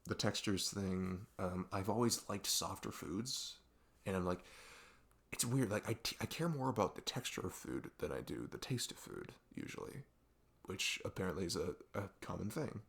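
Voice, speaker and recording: A young man playing a best friend who opens up in an intimate ASMR deep talk, rambling and halting, earnest and reflective. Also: lively intonation, gravelly, fairly bright tone, heavily acted. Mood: reflective, candid. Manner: rambling, halting, reflective, frequent "like" and "you know". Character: earnest male best friend opening up late at night. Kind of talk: intimate ASMR audio roleplay, unscripted-sounding deep talk.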